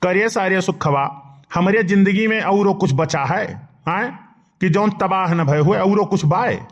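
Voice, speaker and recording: A man's voice: high voice, a little slow, dull audio. A man voicing a teasing village fellow in a comedy cartoon, speaking colloquially with an Awadhi accent. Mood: irritated.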